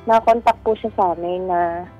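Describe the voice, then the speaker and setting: A woman's voice: lowish voice, fairly even intonation, moderate pace, mellow. A Filipino woman calling a radio advice show, telling her personal story conversationally in a polite, deferential way. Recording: dull lo-fi call audio over music.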